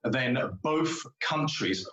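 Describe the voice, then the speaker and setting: Male pundit, mid-pitched, formal and measured delivery, strong room echo. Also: animated, fairly bright tone, muffled recording. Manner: formal, measured, deliberate phrasing. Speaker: panel commentator. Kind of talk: live political commentary. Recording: echoey room.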